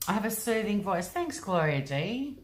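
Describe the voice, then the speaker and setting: A woman's voice: mid-range voice, big pitch swings, moderate pace. A woman hosting a casual livestream from home, reading out viewer comments and rambling chattily with lots of ums and likes. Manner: chatty, rambling, often says um and like. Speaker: livestream host.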